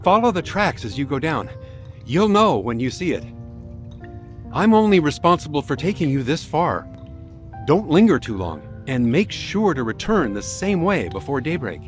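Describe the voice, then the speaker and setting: Old miner guide with swinging intonation. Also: male voice, fairly high pitch, sing-song, moderate pace, faint music behind. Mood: matter-of-fact, cautioning. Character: old male miner working as a tour guide. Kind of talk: scripted game voice acting.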